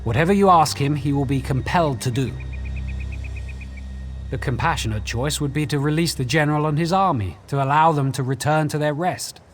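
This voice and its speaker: Man playing an earnest, higher-voiced quest companion. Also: close to an everyday voice. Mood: earnest, counselling. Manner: measured, earnest. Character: earnest, thoughtful male companion on a fantasy quest. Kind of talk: video game character dialogue. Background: music.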